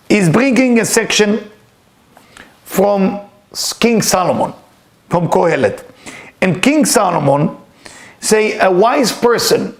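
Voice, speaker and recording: Man, high-pitched, earnest spiritual teacher, emphatic, repeats words. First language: Hebrew. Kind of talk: weekly inspirational talk.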